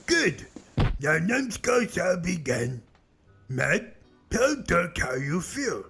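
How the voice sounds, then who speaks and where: Gravelly, slow-talking cartoon brute. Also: male voice, high voice, big pitch swings, over music, exaggerated voice. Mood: stern. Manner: simple broken grammar, stern. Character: slow-witted menacing brute who speaks of himself in third person. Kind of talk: comedic cartoon voice acting.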